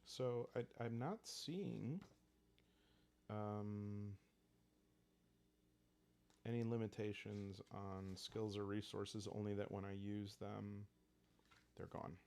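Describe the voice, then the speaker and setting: Low, gravelly man, calm and slow. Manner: calm, unhurried, halting, thinking aloud. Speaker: host and solo player of a journaling roleplaying game. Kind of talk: calm solo game narration in ASMR style.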